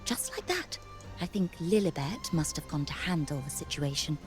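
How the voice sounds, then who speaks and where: An actress playing a chatty, gossipy young novice priestess in a video game, with expressive delivery. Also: female voice, low voice, normal speed, music in the background. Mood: intrigued, gossipy.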